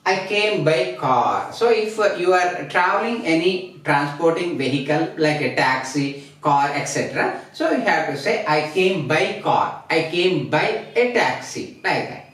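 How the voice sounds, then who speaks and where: A woman from India teaching English grammar at a classroom board, speaking slowly and deliberately, repeating each example sentence with frequent fillers. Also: low voice, expressive. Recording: roomy echo, distant from mic.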